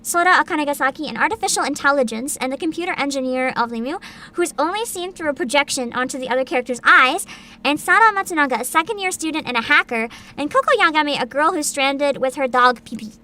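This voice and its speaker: A woman with a high, bright voice hosts a casual livestream. Her pitch moves around a lot as she chats and reads aloud with bubbly enthusiasm. Soft music plays behind her. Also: expressive, fast, slight background noise. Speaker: virtual streamer host. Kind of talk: casual livestream chat, partly reading aloud.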